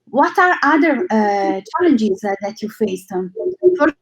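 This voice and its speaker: Chatty woman host, higher voice. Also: expressive, a little slow, slightly rough, dry recording. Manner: chatty, filler-heavy questions. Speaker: interview host. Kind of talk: casual interview hosting.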